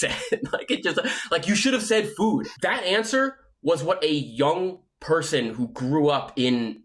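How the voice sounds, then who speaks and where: A man talking about a game show he was on, excitable and quick to laugh. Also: on the high side. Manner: excitable, laughs and yelps often. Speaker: vlogger and contestant. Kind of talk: casual confessional commentary.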